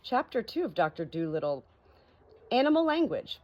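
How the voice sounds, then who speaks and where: A woman narrating a children's audiobook in an expressive storybook reading style. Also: fairly high pitch, normal speed, lo-fi sound, background noise.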